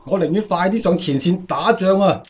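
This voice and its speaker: A man's voice: high voice, moderate pace, slightly rough, lo-fi sound. A man playing a blunt, grumbling young airman in a Cantonese radio drama. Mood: exasperated.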